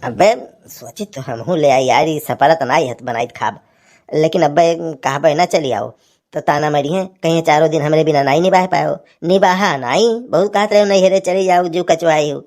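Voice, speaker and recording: A folksy cartoon husband acted by a woman with a low voice and an Awadhi village accent. He grumbles, teases and scolds, a put-upon man stuck with the housework. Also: moderate pace, a little mellow. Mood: exasperated. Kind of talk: cartoon comedy dialogue.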